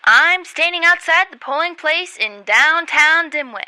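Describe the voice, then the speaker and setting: A woman acts a dramatic field reporter in a comedy radio play. The voice is extremely high and piercing, announcing earnestly in a very dry sound. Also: expressive, measured pace, bright, forceful tone, no echo, heavily acted. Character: earnest female field news reporter at a polling place. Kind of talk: mock live news report in radio comedy.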